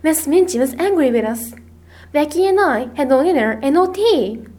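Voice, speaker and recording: A woman voicing a gentle, soft-spoken poor young girl, weary yet hopeful, in a told story. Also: sits high, expressive, normal speed.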